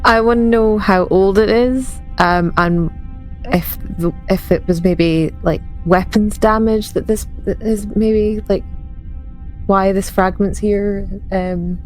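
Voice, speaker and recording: A woman's voice: mid-range voice, steady tone, slow, soft background music, low-quality recording. A woman playing a tabletop role-playing game, improvising in a hesitant, tentative way. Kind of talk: improvised in-character tabletop play.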